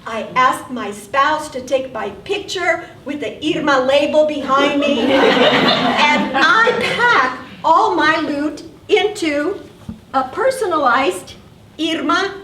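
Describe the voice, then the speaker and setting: An older woman tells her story onstage with wry humor, her voice high and her pace slow and deliberate. She sounds roomy and set back from the mic, with faint theater ambience around her. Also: far from the mic, noisy background. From South Texas, USA. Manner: wry, animated storytelling with acted asides. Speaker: solo performer. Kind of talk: storytelling stage monologue. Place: theater stage.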